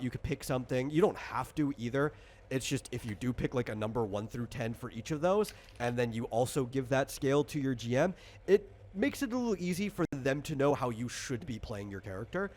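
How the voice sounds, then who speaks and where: A man casually walking a player through a tabletop game as game master, rambling, explanatory and freely opinionated. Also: mid-range voice.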